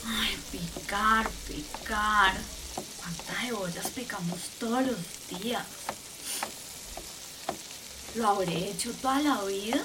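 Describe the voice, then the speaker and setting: High-voiced, anxious, weary kitchen cook. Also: female voice, expressive, measured pace. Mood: weary muttering. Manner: muttering to herself, swearing, rising to panic. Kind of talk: audio fiction acting. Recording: clear kitchen sizzle and noise.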